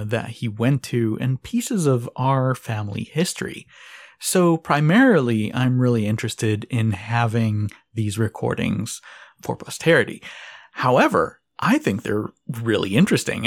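A man in his forties from Israel, hosting a podcast and speaking earnestly, his pitch jumping up on key words. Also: darkish tone. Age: 40s. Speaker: podcast host. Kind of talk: podcast introduction.

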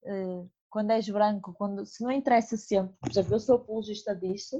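A woman with a Porto accent hosts a conversational interview, her voice mellow and relaxed. She thinks aloud, hesitating and restarting often. The recording is dull and lo-fi. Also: medium pitch, brisk. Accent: northern Portuguese (Porto). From Porto, northern Portugal. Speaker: podcast host.

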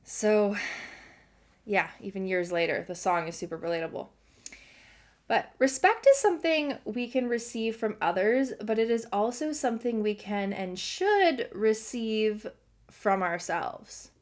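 A young adult woman hosting a self-help podcast, earnest and encouraging, her voice slightly hoarse from a cold. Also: mid-range voice, sing-song, unhurried. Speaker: podcast host.

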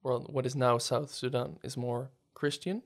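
A man's voice: mid-range voice, fairly even intonation, normal speed, gravelly. A man hosting a podcast, asking questions in a hesitant, casual way with frequent restarts. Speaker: podcast host. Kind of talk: interviewing, casual chat.

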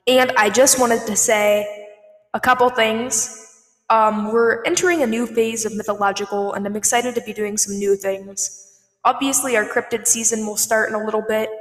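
A woman hosting a podcast announcement, speaking earnestly with emphatic, drawn-out stresses through a reverberant voice filter. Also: a little high. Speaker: podcast host. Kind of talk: scripted-feeling podcast announcement to listeners. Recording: echoey, long reverb.

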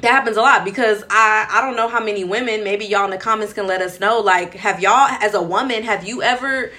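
A woman's voice: medium pitch, fairly quick, sharp tone. A woman in her late twenties, co-hosting a casual chat, talking loosely with lots of fillers and laughing easily. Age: late 20s. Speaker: podcast co-host.

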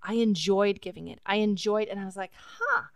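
A woman's voice: mid-range voice, brisk, gravelly, no echo. A woman hosting a podcast, talking in a reflective, earnest way with fillers. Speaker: podcast host. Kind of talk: casual conversation.

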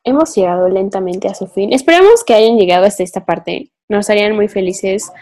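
A woman's voice: medium pitch, expressive, brisk, a little mellow. A young woman, co-hosting a podcast and rambling through a casual philosophical chat, thinking aloud with many fillers. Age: young adult. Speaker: podcast co-host.

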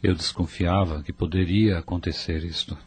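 A middle-aged man playing a devout, steady father in a radio drama, calm and measured. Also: low-pitched, a little hiss or hum, lightly acted. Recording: music behind the voice.

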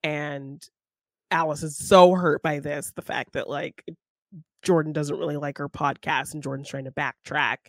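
A woman's voice: low voice, steady tone, normal speed, dry recording, clean studio sound. A woman co-hosting a podcast, casually talking through a film and acting out bits of dialogue as she retells it. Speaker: podcast co-host.

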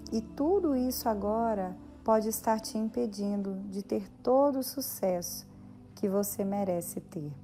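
A Brazilian woman leading a guided meditation in a soothing, measured way with long pauses. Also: medium pitch, mellow. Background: background music.

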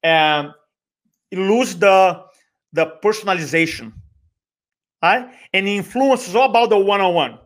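A man in his forties with a Brazilian accent, speaking as an energetic interview guest who repeats words for emphasis and acts out quoted dialogue. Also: sits high, unhurried, pauses often. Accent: Brazilian Portuguese. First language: Portuguese. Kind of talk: podcast interview.

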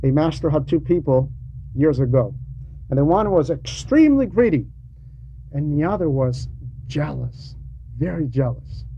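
A man with a soft, mellow, slightly high voice delivers a homily. He explains and admonishes haltingly, slipping into conversational asides. The recording is muffled, with faint noise in it. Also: lively intonation. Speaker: preacher reading a translated homily.

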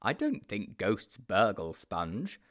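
A man's voice: on the low side, huge pitch swings, slow pace, a bit bright, exaggerated voice. A man voicing a self-important, adventurous would-be detective in a storybook, explaining things in a pompous, emphatic way. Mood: pedantic. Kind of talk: storybook character voice.

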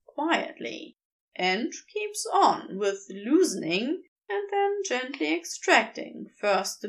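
A woman reading a fan story aloud as narrator, in an expressive, unhurried manner. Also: high voice. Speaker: podfic reader.

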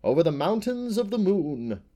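A man's voice: high voice, very animated, normal speed, mellow, voice pushed up. A man voicing a flighty, swaggering male butterfly who chants and sings jumbled scraps of songs and verse. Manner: sing-song, chanting, jumbled recitation. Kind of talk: audiobook character voice.

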